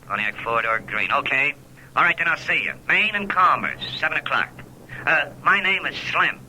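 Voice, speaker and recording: An actor playing a wary, evasive killer posing as a casual caller, heard over a telephone in a 1940s radio drama. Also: male voice, a little high, animated, moderate pace. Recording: sharp, hissy old radio phone line.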